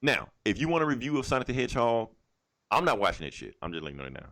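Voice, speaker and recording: A man speaks as a podcast co-host with a mid-range voice and very lively pitch swings. He is blunt and irreverent, swearing freely as he chats casually about entertainment. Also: racing. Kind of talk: casual, profane movie chat.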